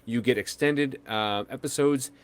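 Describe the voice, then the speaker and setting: A man's voice: mid-range voice, a little slow, slight background noise. A man co-hosting a podcast in casual chat, rambling and hesitant, with many fillers and restarts. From United States. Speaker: podcast co-host.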